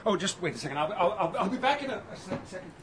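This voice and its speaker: An agitated husband in a radio drama. His voice is on the high side, stammering and apologetic. Clear noise runs through the recording. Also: expressive, rapid-fire. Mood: flustered. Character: flustered, devoted, emotional grieving son and husband.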